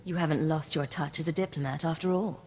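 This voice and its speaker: An actress playing a composed, businesslike imperial agent in command, direct and matter-of-fact, in video game dialogue. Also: female voice, low voice, steady tone, quick pace, darkish tone, long reverb tail, slight background noise, lightly acted.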